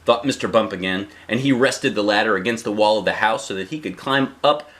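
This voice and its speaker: A man reading a children's storybook aloud in an expressive way, with drawn-out emphasis on key phrases. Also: lowish voice. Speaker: storybook reader, a dad.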